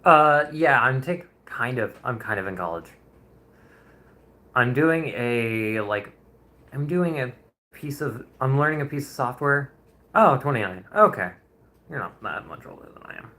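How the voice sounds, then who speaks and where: A man's voice: mid-range voice, lively intonation, a little slow, pushed and bright. A man in his twenties, a streamer chatting casually and rambling with viewers during a painting livestream, chuckling often. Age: 20s.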